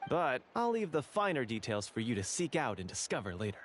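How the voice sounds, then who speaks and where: A man's voice: medium pitch, big pitch swings, fairly quick, slight background noise, close to an everyday voice. A man voicing a friendly male script manager in a video game, polite and welcoming with a theatrical touch. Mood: storytelling. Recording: game audio with music behind.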